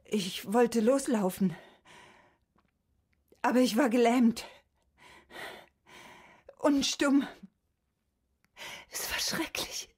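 An actress playing a troubled, fragile patient in a radio drama, recalling nightmares in a halting, hushed, breathy way. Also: female voice, a little high, full character voice. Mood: haunted, fearful. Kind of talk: German radio drama acting.

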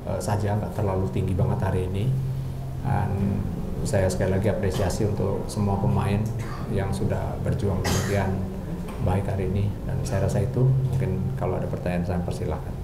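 A middle-aged man, speaking as a football coach at a press conference in a measured, halting way with pauses. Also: sits low, level tone, over loud music. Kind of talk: post-match press conference remarks. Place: indoor press conference room. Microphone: handheld microphone. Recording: roomy, noisy press room ambience.

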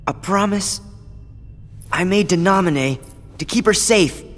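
An actor playing an earnest, determined teenage boy hero in a video game, halting and sincere. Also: sits high, faint music behind. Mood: resolute. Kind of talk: video game cutscene acting. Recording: long hall reverb.

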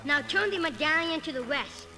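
A woman's voice: high voice, expressive, normal speed. A woman voicing an eager young treasure-hunting boy on a read-along storybook record, with music behind.

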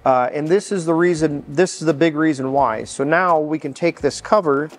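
Male, middle-aged, North American accent, slightly high voice, explaining a practical job step by step over music. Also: normal speed. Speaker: mechanic instructor. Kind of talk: informal hands-on how-to instruction. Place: large indoor workshop.

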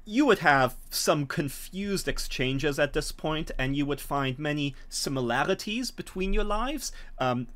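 A middle-aged man, explaining a tabletop scenario as game master in an animated way. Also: a little high, a little slow, few pauses. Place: small home room, on a video call.

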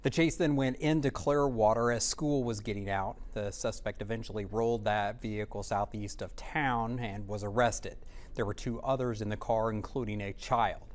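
A man's voice: on the low side, steady tone, moderate pace. American male TV news reporter.

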